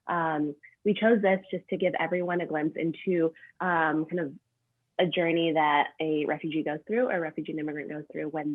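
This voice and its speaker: A woman's voice: sits low, dry recording. A woman presenting informally as a project co-manager, with hesitant, loose phrasing. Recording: thin, phone-like online call audio.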